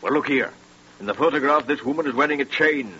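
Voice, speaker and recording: An actor playing a brisk, sardonic police inspector in a 1930s radio drama. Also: male voice, mid-range voice, sharp tone, natural voice. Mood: intent. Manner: commanding, dry. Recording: noisy, dull 1930s radio recording.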